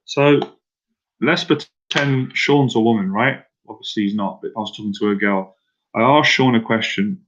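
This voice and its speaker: A man's voice: on the low side, normal speed, fairly bright tone, muffled recording, slight background noise. A man coaching viewers on a live stream about talking to women, speaking casually and directly, repeating himself and asking viewers to answer in the chat. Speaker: dating confidence coach.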